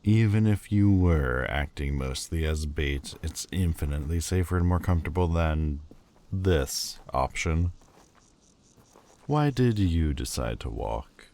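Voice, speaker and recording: A man's voice: rumbling low, expressive, a little slow, a little mellow, a little hiss or hum, heavily acted. A man voicing a proud, bitter demon lord who speaks in a cold, cutting, haughty way and hides a lovesick heart. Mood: mocking. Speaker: voice actor. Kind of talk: audio roleplay acting.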